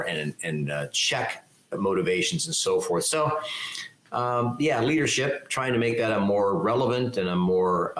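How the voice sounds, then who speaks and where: Emphatic older man with a low voice. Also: echoey room, poor audio. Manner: loud, articulate, emphatic, lists points. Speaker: keynote speaker and leadership mentor. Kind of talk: interview answers, conversational.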